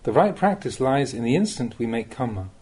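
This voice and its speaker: A man reading a Buddhist Dhamma talk aloud as a monk, in the measured, plain-spoken way of a teacher, with rhetorical emphasis. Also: medium pitch, sing-song, a little mellow, husky.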